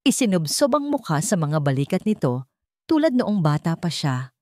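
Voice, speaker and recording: Lowish-voiced woman narrating drama. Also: big pitch swings, moderate pace, mellow, no room sound, clean studio sound. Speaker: story narrator.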